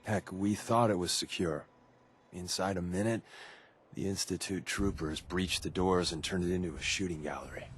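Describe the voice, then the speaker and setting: Low-voiced, breezy wisecracking spy. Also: male voice, moderate pace, breathy edge. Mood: sober recounting. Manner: glib, casual, confiding. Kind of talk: video game voice acting.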